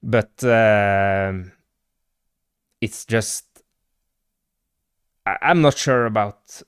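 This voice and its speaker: Norwegian guy streaming, talks slow with lots of uhs, clean dry mic. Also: on the low side, smooth, no room sound. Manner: rambling with many fillers, answers live chat. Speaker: livestream host and football fan. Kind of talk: casual live chat about football.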